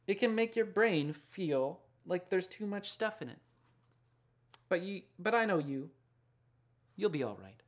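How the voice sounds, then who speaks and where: A man in his thirties reading a picture book aloud in a gentle, unhurried and sometimes hushed way. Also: high voice, animated. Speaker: children's picture book author and illustrator. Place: small indoor studio lined with books and records.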